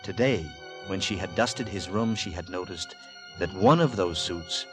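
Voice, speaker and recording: A man narrating in 1940s radio drama style, literary, deliberate and suspenseful. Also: low-pitched. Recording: vintage 1940s radio with music.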